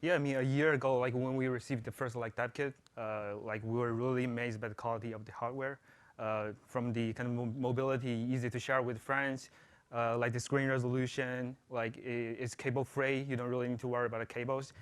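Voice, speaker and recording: A man's voice: medium pitch, level tone, brisk, smooth. A man with a Chinese accent, a jet-lagged senior producer presenting at a conference, often saying "like" and stumbling on starts. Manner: says "like" a lot, stumbling starts. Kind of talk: conference talk.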